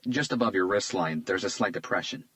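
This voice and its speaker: A man's voice: deep voice, a little fast, fairly bright tone, no room sound. A male narrator voicing an observant, understated detective who talks in a modest, matter-of-fact way.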